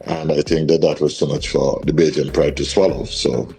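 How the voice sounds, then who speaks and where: A man in an interview, recounting an event in a measured way with frequent ums. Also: extremely low pitch, level tone, dark tone.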